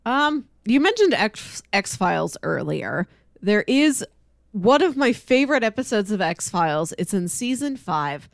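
A woman's voice: medium pitch, big pitch swings, unhurried, gravelly, no room sound, clean studio sound. A woman co-hosting in casual chat, telling things in an informal, chatty way. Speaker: podcast co-host. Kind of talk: casual chat recommending shows.

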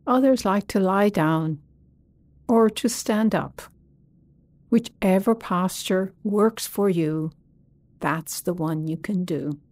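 Woman, guided meditation, soft dark tone, long unhurried pauses, close dry recording. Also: mid-range voice, dry recording. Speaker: meditation teacher. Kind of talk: guided body scan meditation.

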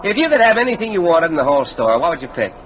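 An American actor playing a genial, excitable and dreamy department store manager in an old-time radio drama, earnest and expressive. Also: male voice, on the high side, rapid-fire. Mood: friendly. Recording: muffled, noisy old radio recording.

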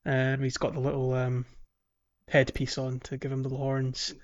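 A man's voice: medium pitch, monotone, normal speed, muffled recording. A man co-hosting a podcast in a casual, conversational way, hesitant and thinking aloud as he talks about a video game. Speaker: podcast co-host.

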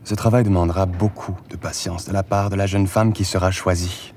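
An actor playing a stern parish priest who speaks in a solemn, preaching manner. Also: male voice, sits low, steady tone, a little fast, a little mellow, a little hiss or hum. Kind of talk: film acting.

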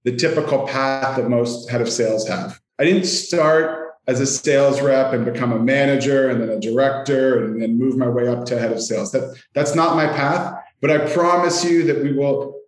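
A man's voice: medium pitch, normal speed, hardly any pauses. A Canadian-accented man giving conversational answers as a sales executive guest on a podcast. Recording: roomy, echoey sound.

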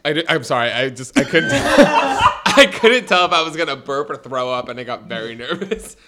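A man's voice: medium pitch, big pitch swings, moderate pace, heavy background noise. A man co-hosting a casual podcast, teasing his friend and laughing often. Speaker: podcast co-host. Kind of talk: casual chat.

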